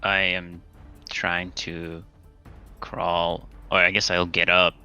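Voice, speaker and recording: Deep, very bright-voiced man, thinking aloud. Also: completely flat delivery, slow pace, smooth, soft background music. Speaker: player. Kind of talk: casual tabletop game chat.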